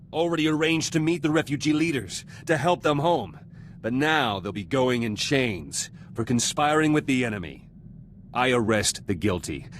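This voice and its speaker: Bitter male rebel soldier for a game, played by a man: clipped, intense, widely swinging pitch, faint ambience. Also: slight background noise, lightly acted. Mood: grim, vengeful. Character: bitter, vengeful male resistance fighter, fiercely loyal. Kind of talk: scripted video game voice acting.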